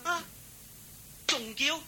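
A man with a Hong Kong Cantonese accent playing a simple, playful, eager young farm servant in a radio drama, chattering excitedly and stumbling over words. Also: very high-pitched, drawn-out words, exaggerated voice. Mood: playful scolding. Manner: excitable, stammering, deferential chatter. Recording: hissy old radio drama recording.

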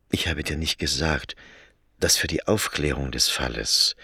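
An aggrieved, desperate man with a killing on his conscience in a radio play. His voice is extremely low and very husky, with a forceful edge. He is insistent and sombre by turns. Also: no echo, studio quality, heavily acted. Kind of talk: German radio play acting.

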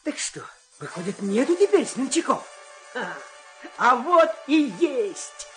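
Music plays clearly behind an actor's rendering of a young farm lad. He is a dreamer of heroic deeds, speaking in theatrical declamation for a folk-tale audio play. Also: high-pitched, sing-song, slow pace, fairly bright tone, exaggerated voice. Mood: eager, bold.

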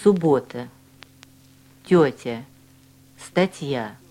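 A woman reading language-course drills and dialogues with slow, deliberate, carefully enunciated speech. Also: very deep voice, animated, dark tone, rough recording. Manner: clear, deliberate articulation of each word.

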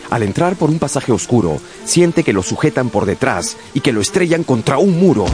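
A man narrating a radio novel dramatically, with deliberate, suspenseful phrasing. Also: medium pitch, a little mellow.

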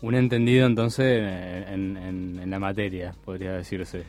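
Un hombre que conduce la radio y entrevista, con comentarios breves y bromistas. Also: male voice, low-pitched, flat delivery, darkish tone, faint music behind. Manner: quick joking interjections. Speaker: radio host. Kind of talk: casual interview chat.

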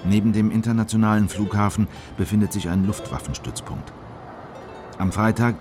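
Male actor as grave official narrating his memoir: very low voice, measured, formal, music underneath. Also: natural voice. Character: grave male Bolivian official recounting a manhunt, disapproving. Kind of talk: scripted radio drama narration.

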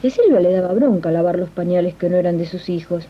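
A woman's voice: fairly low pitch, fast, breathy edge. A woman playing a plain-spoken Argentine domestic worker and union comrade who narrates a 1980s radio drama conversationally. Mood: warm, storytelling. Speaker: narrator and host of radio serial. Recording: dull 1980s archive radio tape.

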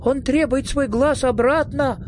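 A man voicing a skinny little excitable tribesman with an ominous manner in a storybook reading. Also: sits high, measured pace, a little hiss or hum, voice pushed up. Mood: panicked. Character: thin little tribesman, excitable prophecy-bearer.

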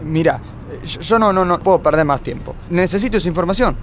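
A man acts a nervous jealous boyfriend, high-pitched for a man. He stutters heavily yet insists and threatens, in radio comedy style, with faint street noise behind. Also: a little fast, natural voice. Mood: threatening. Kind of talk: comedy radio play acting.

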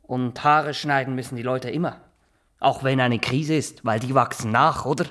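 An actor playing a nervous, boastful Swiss small-town barber in a radio drama, rambling, halting and always justifying himself. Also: male voice, medium pitch, fairly even intonation, a bit bright, close to an everyday voice. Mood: boastful, self-justifying. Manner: rambling, halting, repeats himself, keeps adding tag questions. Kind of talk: naturalistic radio drama acting.

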